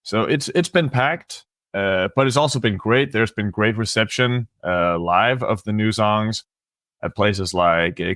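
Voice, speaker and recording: A man in a band, answering interview questions in halting speech with many pauses. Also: lowish voice, fairly bright tone, no echo, studio quality. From Denmark. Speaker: band member.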